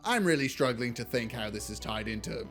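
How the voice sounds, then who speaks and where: A man's voice: medium pitch, expressive, fairly quick, fairly bright tone. A man delivering scripted video essay commentary in a blunt, casually sardonic way. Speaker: video essayist reviewing films. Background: music.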